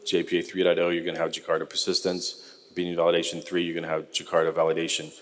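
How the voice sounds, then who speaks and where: Middle-aged American man, low register, easygoing conference speaker, big-room reverb. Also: quick pace. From United States. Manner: relaxed, conversational, frequent ums, polls the audience. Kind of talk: technical conference talk. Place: large conference hall.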